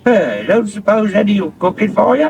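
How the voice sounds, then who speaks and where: Rural English labourer, high animated voice, stubborn, secretive, blunt, radio soap. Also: male voice, moderate pace, creaky, lo-fi sound, a crowd in the background, heavily acted. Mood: defensive, cagey. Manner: cagey, blunt. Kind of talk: naturalistic radio soap acting.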